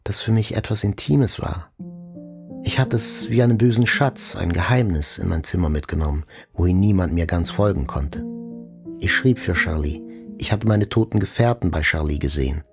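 An actor playing the inward voice of a wounded, brooding journalist, in intimate literary narration. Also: male voice, sits low, normal speed, vocal fry, music in the background. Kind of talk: scripted literary narration, radio play.

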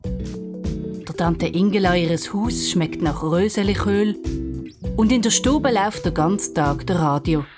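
A woman with a slightly low voice tells a children's story in Swiss German. It is scripted narration, with music clearly playing behind her. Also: measured pace.

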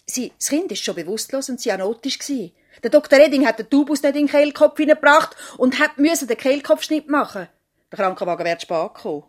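An actress with a Swiss German accent playing a loyal, chatty doctor's assistant in a 1970s radio play, reporting in an eager, matter-of-fact way. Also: female voice, sits high, lively intonation, a little fast. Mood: eager, admiring.

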